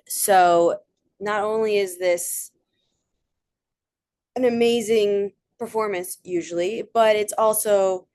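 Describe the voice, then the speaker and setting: A woman's voice: on the low side, a little mellow. A young adult woman giving a casual online presentation, chatty, with frequent fillers and asides. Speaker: presenter of a theater talk.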